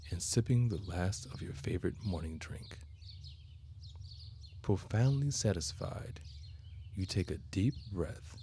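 A man narrating a guided meditation as a podcast host, with measured, soothing, deliberate phrasing. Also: low voice, dark tone, slight background noise.

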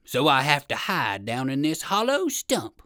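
A woman voicing a sly, hungry big bear in a children's storybook, coaxing with quiet menace. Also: low voice, big pitch swings, no echo, clean studio sound, heavily acted. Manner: slow, coaxing, menacing salesman.

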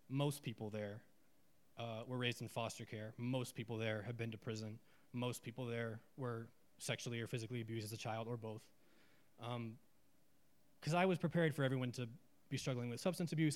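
Clear-voiced man giving a live reading. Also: medium pitch. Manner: casual and halting between readings, slow and deliberate when reading aloud. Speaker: writing workshop leader reading participants' work.

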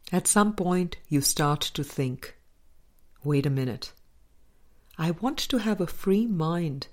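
A woman reading a spiritual book aloud as a scripted audiobook, in measured, deliberate short phrases. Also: medium pitch, a little mellow. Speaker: audiobook reader.

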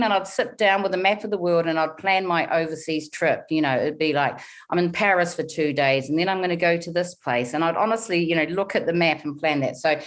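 A woman in her forties from New Zealand with a softened Kiwi accent, telling her story candidly in a podcast interview. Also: on the low side. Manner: candid storytelling, frequent fillers and restarts. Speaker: guest, money coach.

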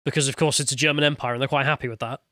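A man's voice: mid-range voice, steady tone, rapid-fire, pushed and bright, dry recording, studio quality. A man co-hosting a podcast, talking casually, laughing easily and reading viewer comments aloud. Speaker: podcast co-host.

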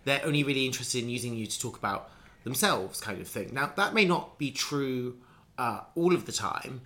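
A man's voice: medium pitch, lively intonation, fairly quick, sharp tone. A man in casual conversation, musing at length with many restarts and fillers. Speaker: conversation partner.

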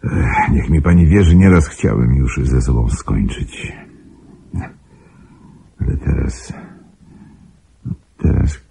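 An actor playing a brooding, persuasive wealthy gentleman in a radio play, husky, halting and intensely pleading. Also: expressive, a little hiss or hum, exaggerated voice. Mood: tender, anguished. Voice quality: very deep, gravelly, husky. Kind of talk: Polish radio play acting.

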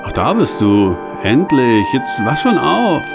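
A man's voice: mid-range voice, sing-song, slow, vocal fry, loud music behind the voice, voice pushed up, heavily acted. A man voicing a chummy, boastful male companion who talks in a jovial way.

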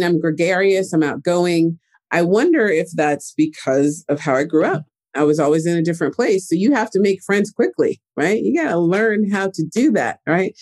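A young man talking about his life as an interview guest, lively and chatty. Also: a little high. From United States. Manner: animated, chatty storyteller. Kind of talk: casual interview talk.

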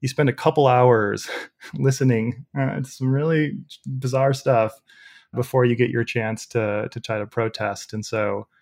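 Young male podcast guest: loud, gravelly, mid-pitched, rambling and chatty. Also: steady tone, a little slow. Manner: rambling, many fillers, laughs while telling stories. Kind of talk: casual storytelling interview.

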